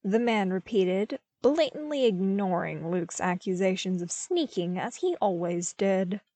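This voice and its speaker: A woman narrates fiction in a mid-pitched voice. Her reading is careful and expressive, recorded close and dry. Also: measured pace, dry recording. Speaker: podfic narrator. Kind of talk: scripted story narration.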